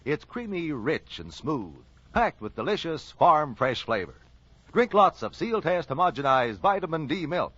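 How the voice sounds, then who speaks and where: A man's voice: fairly high pitch, very animated, moderate pace, a bit bright, breathy edge, no echo. A man with an American accent announcing and reading sponsor ads in 1940s radio style. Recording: dull lo-fi 1940s radio recording.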